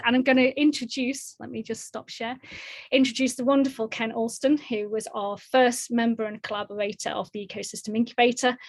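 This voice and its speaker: A woman's voice: mid-range voice, dry recording. Woman hosting, dry close sound. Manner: enthusiastic, with many fillers. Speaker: host and ecosystem founder. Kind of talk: presenting online session.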